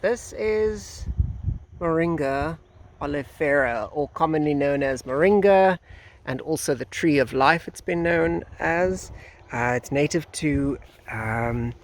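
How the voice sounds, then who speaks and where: South african guy chatting to camera for a garden vlog, bit hoarse, on the higher side, faint outdoor noise. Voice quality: slightly hoarse. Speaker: gardening video host, nursery gardener. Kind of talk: informal vlog talk to camera. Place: outdoors in a breezy garden nursery. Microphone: phone at arm's length. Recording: outdoor phone recording with faint background noise.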